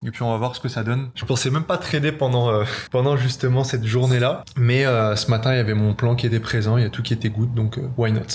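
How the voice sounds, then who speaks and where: A man's voice: mid-range voice, fairly even intonation, fast, few pauses, a little mellow, a little hiss or hum. Casual man explaining a trade. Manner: casual, explanatory, filler-heavy. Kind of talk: casual vlog narration.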